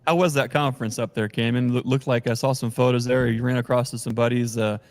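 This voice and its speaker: A man with an average-pitched voice is hosting a livestream. He chats casually with his guests, as one would among friends. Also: steady tone, fast talker. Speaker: host of a beekeeping livestream. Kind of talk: casual chat.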